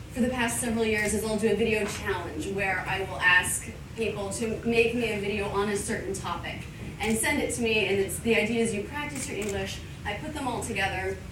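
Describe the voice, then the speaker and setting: A woman who teaches English, giving a live thank-you speech to a party crowd, warm and laughing often. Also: medium pitch. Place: large event space. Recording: roomy, far from the mic, noisy.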